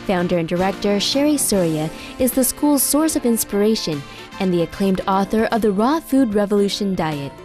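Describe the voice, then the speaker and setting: A woman reading scripted documentary narration. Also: medium pitch. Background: music behind the voice.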